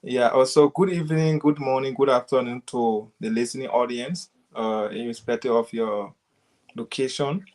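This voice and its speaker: A man's voice: medium pitch, fairly even intonation, normal speed, a little mellow. Polite young man from Liberia. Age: young adult. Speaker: panel guest. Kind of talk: formal greeting and self-introduction.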